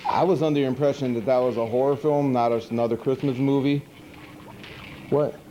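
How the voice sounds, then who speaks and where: A man's voice: medium pitch, steady tone, brisk, warm tone, muffled recording, natural voice. A man playing a goofy monster who hosts a horror movie show, rambling in slangy, exasperated comic commentary. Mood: apologetic, grumbling. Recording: noisy 1980s VHS TV tape.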